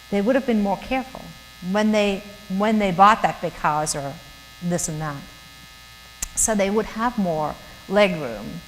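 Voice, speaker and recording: A middle-aged woman from Germany with a German accent, giving an earnest, chatty church talk to young professionals and laughing often. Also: mid-range voice. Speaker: guest speaker. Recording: hall reverb with faint mains hum.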